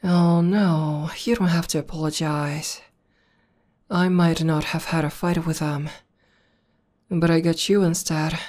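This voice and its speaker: A woman acts out a battle-hardened tomboy demoness who is devoted to her partner. Her voice is very low and warm, with a dark, soft edge. She talks slowly and tenderly, with halting pauses, in the close style of an ASMR girlfriend roleplay. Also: fairly even intonation. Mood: tender, affectionate. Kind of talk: intimate ASMR roleplay acting.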